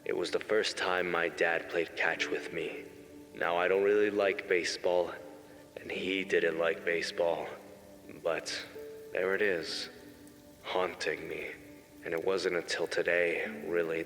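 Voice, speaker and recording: Haunted male mourner, played by an actor, solemn and halting, unhurried, reverberant hall with soft music. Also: deep voice, heavily acted. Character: grieving son giving a eulogy, somber, haunted. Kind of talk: comic dub monologue.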